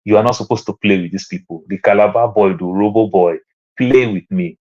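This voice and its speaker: A deep-voiced man with a Nigerian accent shares his views with an online panel. He tells personal stories and lifts his voice higher and louder to stress a point. The sound is dull and lo-fi, as on a remote call. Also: fairly quick. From Lagos, Nigeria. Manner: storytelling, climbs higher and louder when making a point. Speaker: panel speaker.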